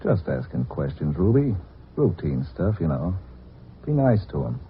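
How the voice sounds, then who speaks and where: An actor playing a placating circus barker with a rough voice in an old-time radio drama, subdued and soothing. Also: male voice, deep voice, sing-song, a little slow, a little hiss or hum. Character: placating male circus barker, a performer's husband. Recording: dull lo-fi old radio recording.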